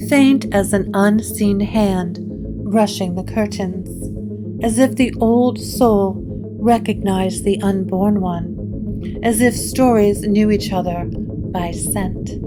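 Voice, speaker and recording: A woman narrates a gothic tale at an unhurried pace in a mid-range voice. Her delivery is scripted, measured and atmospheric, with plucked guitar music clearly behind her. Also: medium pitch, rough recording.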